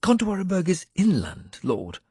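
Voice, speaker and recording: A man's voice: high-pitched, wildly swooping pitch, moderate pace, mellow, breathy edge, no room sound, studio quality, voice pushed up, full character voice. A man voicing a pious, earnest priest who reproves his lord in an audiobook. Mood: reproving. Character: pious, reproving male priest bearing a message.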